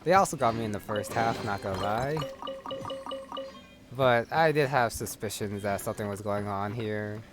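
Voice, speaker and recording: A man's voice: fairly low pitch, normal speed. A man giving casual commentary as he plays a video game. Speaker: gamer, let's player. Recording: prominent music behind. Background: game music.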